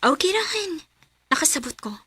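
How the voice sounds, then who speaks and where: An actress playing a watchful woman in a strained romance in a radio drama, breathy and husky, with a Cebuano accent. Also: high-pitched, very animated, normal speed, bright, forceful tone, no echo, exaggerated voice. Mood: restrained.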